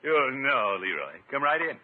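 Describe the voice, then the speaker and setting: An actor playing a pompous, bumbling middle-aged water commissioner who blusters and gets flustered in a 1950s radio sitcom. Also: male voice, mid-range voice, sing-song, moderate pace, a little hiss or hum, lightly acted. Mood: relaxed, self-satisfied. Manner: pompous, blustering, stammering when caught out. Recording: muffled narrowband 1950s radio recording.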